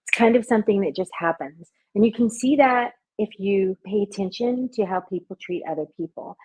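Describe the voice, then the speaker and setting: A woman working as a hypnotist, talking in an interview with a soft, soothing voice. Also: mid-range voice, fairly quick, husky, rough recording. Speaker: hypnotist and party performer. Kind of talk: conversational interview answers.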